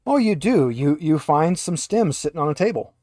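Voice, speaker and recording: A man runs a tabletop roleplaying game as its game master. His voice sits a little high for a man and is recorded dry, with little room sound. He improvises his narration in halting phrases, describing scenes and settling rules as he goes. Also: animated, darkish tone, dry recording.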